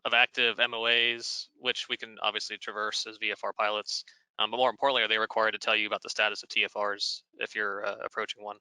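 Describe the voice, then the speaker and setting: An American man hosts a conversational Q&A in a very bright, clean and crisp voice. His pitch stays fairly even as he builds detailed questions in a measured way. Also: no echo, rough recording. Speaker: host interviewer. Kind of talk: conversational moderated Q&A.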